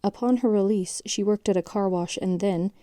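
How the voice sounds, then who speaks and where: Audio drama narrator actress: female, very dark lowish tone, dry sound, measured and factual. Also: dry recording, natural voice. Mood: detached, sober. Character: detached female narrator reading grim case histories. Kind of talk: scripted documentary-like narration.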